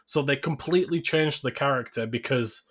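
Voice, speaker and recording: A man's voice: mid-range voice, normal speed, fairly bright tone. Casual male podcast host. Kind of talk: casual film discussion.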